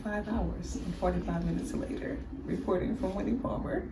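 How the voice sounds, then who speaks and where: A woman's voice: mid-range voice, fairly even intonation, normal speed. A woman vlogging from a hospital room as an expectant mother, chatting in a friendly, talkative way. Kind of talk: casual vlog chat. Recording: echoey room with background noise.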